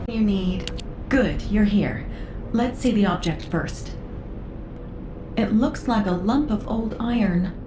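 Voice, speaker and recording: An actress playing a composed, analytical researcher in a video game, speaking in a measured, formal way. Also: female voice, mid-range voice, background noise, natural voice. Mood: curious, composed. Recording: reverberant voice over loud game music.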